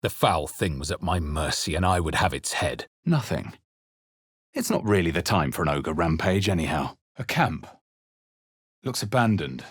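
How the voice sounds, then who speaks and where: A man playing a brooding, grave fantasy adventurer in a video game, speaking with measured, solemn theatricality. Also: low-pitched, sing-song, breathy edge, no room sound, studio quality, exaggerated voice. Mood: alert, then musing. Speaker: video game player character. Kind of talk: dramatic fantasy game narration, barks and spell incantations.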